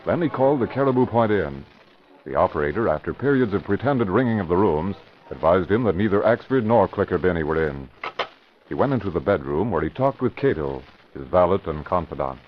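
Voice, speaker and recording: Deep-voiced old radio narrator. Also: male voice, animated, fairly quick, slight background noise. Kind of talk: scripted narration. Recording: dull vintage radio broadcast with music.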